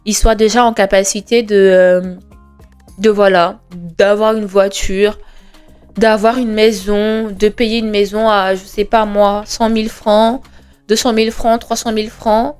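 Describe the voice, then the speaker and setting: A woman's voice: medium pitch, level tone, measured pace, a little mellow, smooth. A woman in her twenties hosting a podcast, talking in an earnest, conversational way, with frequent hesitations, rhetorical questions and emphatic reassurance. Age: 20s. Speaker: podcast host. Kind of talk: reflective monologue. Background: faint background music.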